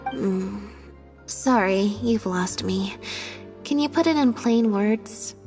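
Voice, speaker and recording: A woman playing a polite, curious young female character in a video game, with measured delivery. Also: mid-range voice, a little mellow. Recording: reverberant voice with music. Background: background music.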